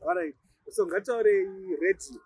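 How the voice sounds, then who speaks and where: A Shona-speaking man with a Zimbabwean accent, putting casual interview questions in a halting way, repeating words as he forms them. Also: high voice.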